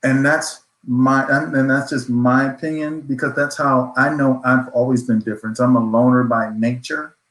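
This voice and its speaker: A man's voice: mid-range voice, steady tone, normal speed, hardly any pauses, fairly bright tone. A man speaking as a panelist in a reflective discussion, earnest, affirming and motivational. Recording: roomy echo.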